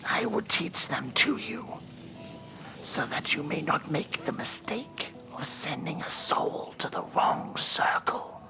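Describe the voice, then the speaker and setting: A man's voice: fairly high pitch, animated, vocal fry, music in the background, exaggerated voice. A man voicing a stern, impatient demon judge of Hell in a whispered horror ASMR role-play, scolding and commanding. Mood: exasperated, instructing. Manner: scolding, weary, deliberate, commanding.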